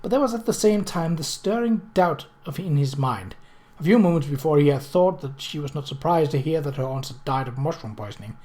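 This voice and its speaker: A man narrates a novel in a fairly high voice. His reading is measured and literary, with occasional small stumbles over the words. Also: expressive, darkish tone. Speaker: audiobook reader.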